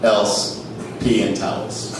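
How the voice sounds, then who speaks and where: A man's voice: fairly low pitch, level tone, slow pace. A man hosting a live comedy show, reading aloud and telling stories with a wry manner. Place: live on stage in a small theater. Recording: echoey theater, distant mic, noisy.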